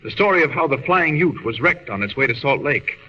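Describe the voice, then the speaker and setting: An American actor playing a meek, polite young would-be reporter in 1940s radio drama style, deferential yet assured. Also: male voice, a little high, lively intonation, fairly quick, lightly acted. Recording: muffled, noisy old radio recording.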